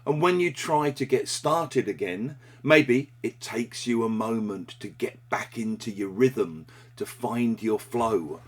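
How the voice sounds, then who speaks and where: Man, husky voice, middle pitch, scripted English lesson, measured delivery. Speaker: English teacher.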